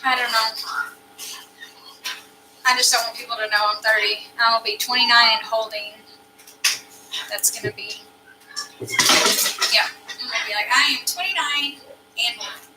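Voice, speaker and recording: 30ish woman from arkansas rambling on a livestream, high bright voice, echoey room, kinda far off. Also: moderate pace, long pauses, noisy background. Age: about 30. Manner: chatty, rambling, lots of fillers. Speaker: farm channel host reading live chat. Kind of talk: casual live-stream chat.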